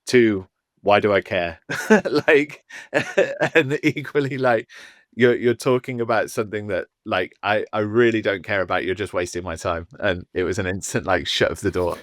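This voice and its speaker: A man from England co-hosts a casual podcast. He talks easygoing, with stammering restarts. His voice sits very low, recorded dry and close. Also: moderate pace, dry recording. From England, not London.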